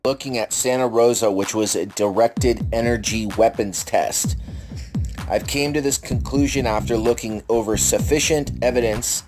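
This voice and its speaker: A man's voice: mid-range voice, moderate pace. A man giving emphatic conspiracy commentary, stating his conclusions firmly. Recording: music playing behind the voice. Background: music.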